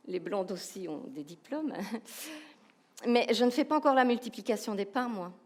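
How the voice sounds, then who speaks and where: A woman with a Belgian French accent giving a humorous, animated keynote talk, asking the audience rhetorical questions. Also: fairly high pitch. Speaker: keynote speaker, professional coach.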